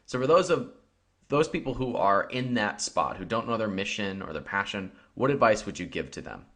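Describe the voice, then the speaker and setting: Upbeat low-voiced male podcast host. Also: animated, moderate pace, fairly bright tone. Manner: upbeat, motivational, laughs easily. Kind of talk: scripted intro, then interview questions.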